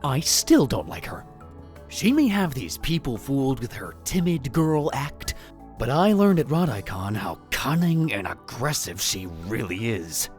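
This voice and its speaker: A man's voice: mid-range voice, very animated, a little slow, faint music behind. A man playing an arrogant, theatrical male college student who narrates a visual novel fan dub in a pompous way. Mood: scornful, suspicious. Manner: pompous, dramatic delivery with exclamations.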